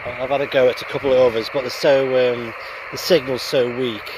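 Halting man, noisy outdoor vlog. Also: medium pitch, little pitch movement. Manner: halting, hesitant delivery. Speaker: amateur radio vlogger. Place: outdoors on an open hillside.

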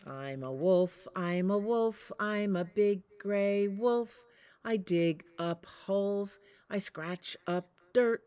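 Big gray daddy wolf for a picture book read-aloud, slow steady chant, middle pitch, performed by a woman. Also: clean tone, lightly acted. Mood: sing-song.

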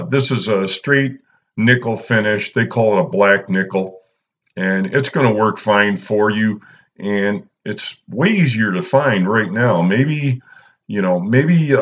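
Laid-back man with a low drawl. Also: fairly bright tone, highs cut off. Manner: laid-back, lots of "uh" and "you know". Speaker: fishing channel host. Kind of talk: casual instructional voice-over.

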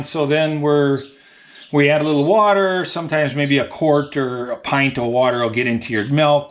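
A man in his thirties, a dairy farmer, explaining things in a casual, plain-spoken, folksy way in a small indoor work room. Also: fairly high pitch. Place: small tiled milk room with steel tank, indoors.

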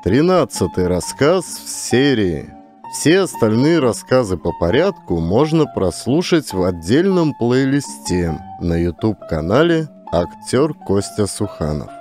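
A man's voice: medium pitch, big pitch swings, a little slow, darkish tone, soft background music. A man narrating a literary audiobook as an actor reading from a script.

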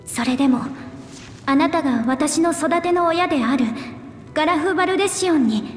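An actress playing a small, polite young scholar envoy in a video game, speaking formally with earnest determination. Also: female voice, high voice, normal speed, close to an everyday voice. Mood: earnest, solemn. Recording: echoey hall reverb, music behind.

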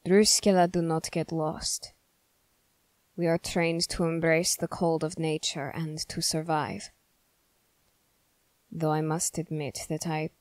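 A woman playing a stern, suspicious young male soldier in an ASMR roleplay, questioning the listener in a measured, formal way. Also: low voice, darkish tone, dry recording. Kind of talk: ASMR character roleplay, slow scripted monologue.

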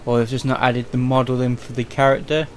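A man's voice: medium pitch, little pitch movement, fast, a little hiss or hum. A man giving casual, chatty commentary as he plays a video game. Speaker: gaming video host.

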